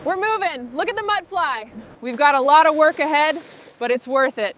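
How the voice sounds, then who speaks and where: A woman's voice: high-pitched, normal speed, a bit bright. A woman hosting a vehicle restoration video, speaking short scripted commentary on the work as it goes.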